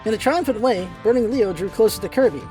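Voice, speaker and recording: A woman's voice: fairly high pitch, lively intonation, fast, mellow, faint music behind, low-quality recording. A woman reading scripted storybook narration as the narrator of a radio play.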